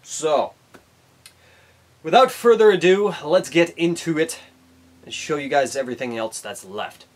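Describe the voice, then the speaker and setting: A man's voice: fairly high pitch, animated, measured pace, pauses often, slight background noise. A man, presenting casually to camera, slightly nasal from a head cold. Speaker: host of an RC truck build channel. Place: small indoor hobby workshop.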